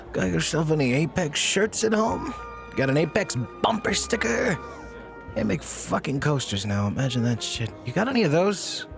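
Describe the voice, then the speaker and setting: A man's voice: fairly high pitch, very animated, moderate pace, gravelly, music in the background. An actor playing a bitter, drunken, grieving father in an audio drama, sarcastic, profane and weary. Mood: bitter mocking.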